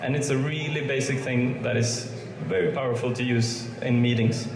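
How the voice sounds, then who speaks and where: A man's voice: medium pitch, fairly even intonation, moderate pace, background noise. A young man from Sweden giving a student presentation, hesitant with many fillers and restarts. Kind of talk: presentation to an audience. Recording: reverberant hall, faint ambience.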